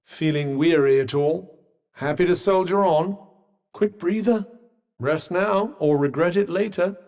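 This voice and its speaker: A man's voice: high voice, measured pace, darkish tone. A man with a British accent voicing a haughty, sardonic magical cuff companion in a video game, posh and understated in his dry, condescending delivery. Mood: firm, mildly concerned. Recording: voice with long reverb.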